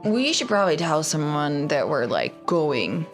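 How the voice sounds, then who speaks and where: Low voiced lady playing a tabletop game, casual n a bit hesitant, music behind her. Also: sing-song, a little slow.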